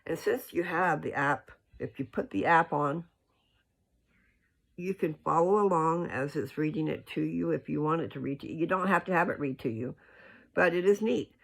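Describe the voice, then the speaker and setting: Gravelly, husky voice in folksy devotional talk. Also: medium pitch, normal speed. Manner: folksy, earnest, digressive. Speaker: religious video host. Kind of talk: rambling informal devotional talk.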